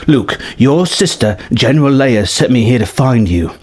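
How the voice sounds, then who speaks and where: A man voicing an earnest, awestruck young woman apprentice who speaks haltingly in a space-adventure story. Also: medium pitch, lively intonation, a little mellow, husky. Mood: halting, urgent.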